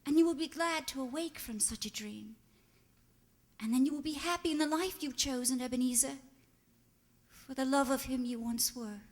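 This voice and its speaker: An actress playing a sorrowful but resolute young fiancée in a radio play, earnest, formal and wounded. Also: female voice, sits high, normal speed, long pauses. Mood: sad farewell. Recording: reverberant, music under the voice.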